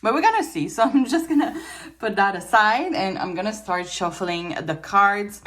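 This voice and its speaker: A woman's voice: medium pitch, animated, moderate pace, fairly bright tone. A woman from Quebec with a Quebec French accent, French as her first language, giving a casual tarot reading at a table, chatty, laughing often and restarting her sentences, a little tired. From Quebec, Canada. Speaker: tarot reader. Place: indoors at a table with trinkets.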